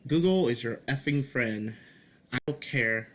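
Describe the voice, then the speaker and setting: A man giving casual devlog commentary as a game developer, speaking haltingly with repeated words and mild swearing. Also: mid-range voice, a little hiss or hum. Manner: halting, repeats and restarts phrases. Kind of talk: casual screen-recorded commentary, reading and answering feedback.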